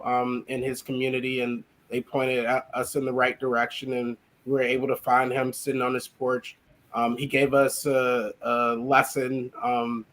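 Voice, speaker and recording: Chicago guy guesting on a show, lots of ums and restarts, mid voice. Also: medium pitch. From Chicago, USA. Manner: hesitant, many restarts and ums. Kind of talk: casual interview reflection.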